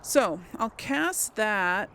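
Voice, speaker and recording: Slow-talking woman with a creaky voice. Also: medium pitch, animated, sharp tone, a little hiss or hum. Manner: hesitant, musing, trailing off. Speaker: let's player hosting a role-play playthrough. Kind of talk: casual gaming commentary, thinking aloud.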